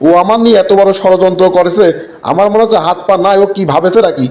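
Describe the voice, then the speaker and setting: Man as a high-pitched hot-tempered villager. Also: fast talker, darkish tone, lightly acted. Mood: indignant, threatening. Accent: rural Bangladeshi Bengali. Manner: blustering, indignant. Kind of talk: comic cartoon dialogue.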